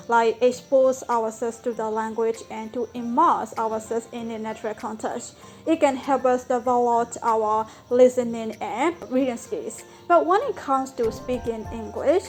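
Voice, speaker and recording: A young woman with a Burmese accent talks through a language-learning lesson in a vlog. Her voice sits a little high, and her delivery is earnest and explanatory, leaning on "so" and "like". Faint music plays underneath. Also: darkish tone.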